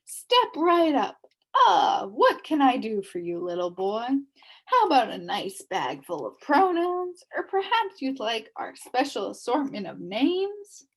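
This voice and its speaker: A woman reading a storybook aloud and voicing a loud, pushy male word salesman at a market stall, calling out with booming hawker's patter. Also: sing-song, unhurried, heavily acted. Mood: hawking.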